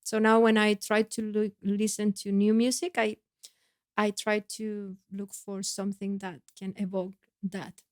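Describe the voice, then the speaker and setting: A woman guest singer on a podcast, speaking in a reflective, halting way with many restarts. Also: medium pitch, flat delivery, no echo, studio quality. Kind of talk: podcast conversation.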